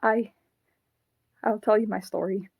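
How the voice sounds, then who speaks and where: A woman voicing a sad, clinging haunted puppet with a young boy's ghost in it, in a halting, earnest fan audio drama performance. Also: medium pitch, fairly even intonation, vocal fry, a little mellow, husky, dry recording, studio quality. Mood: pleading, upset.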